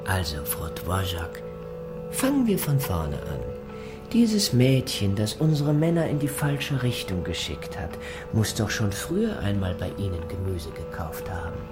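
A man playing a menacing, falsely courteous Gestapo interrogator in a radio play, speaking with slow, insinuating deliberation. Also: on the low side, very animated. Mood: quietly menacing. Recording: music under the voice.

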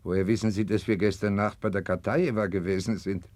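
An actor playing a flippant, lecherous court official in a 1960s German radio play, casual and offhand. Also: male voice, lowish voice, steady tone, quick pace, darkish tone, dry recording, close to an everyday voice. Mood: flippant, leering.

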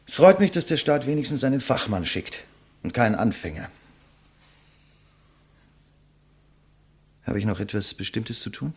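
A man's voice: mid-range voice, big pitch swings, fairly quick, a little mellow, husky. An actor playing a proud, defiant writer facing his executioner, eloquent and sardonic, in the style of a 1950s German radio play. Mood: scornful, composed.

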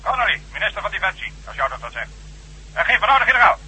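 An actor playing an irate, scornful government minister bellowing through a telephone filter in a radio drama. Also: male voice, high-pitched, big pitch swings, racing, sharp tone, slightly rough, exaggerated voice. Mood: angry. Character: irate, blustering government minister, male, foul-mouthed bully. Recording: thin, harsh telephone line.

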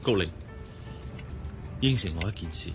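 An actor playing an attentive, teasing middle-aged suitor in a Cantonese radio drama, with a Hong Kong accent. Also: male voice, deep voice, expressive, normal speed. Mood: serious. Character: middle-aged married man, attentive, smooth-talking suitor. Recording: radio drama with music underneath. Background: background music.

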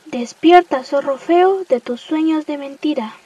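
A woman's voice: high voice, unhurried, mellow, no room sound, dull audio. A young woman with a Chilean accent playing a shrewd, scolding female partridge in an amateur radio play. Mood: scheming.

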